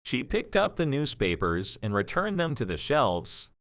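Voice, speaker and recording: A male narrator reads a scripted story with an expressive, varied delivery. His voice sits at a medium pitch. The recording is dry, with no room sound. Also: a little slow, no room sound.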